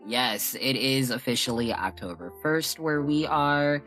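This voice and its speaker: Male podcast co-host, medium pitch, informal chat with rambling personal stories. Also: animated, unhurried, fairly bright tone, faint music behind.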